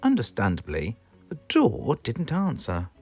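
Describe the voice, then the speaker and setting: Slow, very expressive British storybook narrator. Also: mid-range voice. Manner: expressive, asks the listener questions. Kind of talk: scripted children's story narration. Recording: music playing behind the voice.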